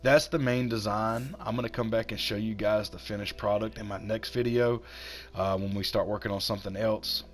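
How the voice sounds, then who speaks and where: A man with a cold, hoarse and a little raspy, chatting casually while he builds in a video game and talks himself through each step. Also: lowish voice, fairly even intonation, moderate pace. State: hoarse from sinus infection. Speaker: gaming channel host.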